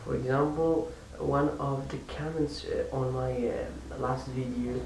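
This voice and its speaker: A man with a non-native accent speaks off the cuff in a casual vlog style, at an ordinary male pitch. He moves forward haltingly, doubling back on words as he looks for the next phrase. The recording sounds roomy and far from the microphone. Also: steady tone. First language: not French or English. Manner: halting, repeats words while searching for phrasing. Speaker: amateur language-learning vlogger. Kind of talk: casual unscripted vlog talk. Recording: echoey room, distant mic, faint hiss.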